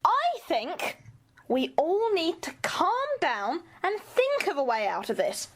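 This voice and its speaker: Bossy, cutting female passenger woman acting, radio play; very high, animated, takes charge. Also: slow, full character voice. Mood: commanding. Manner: stern, cutting.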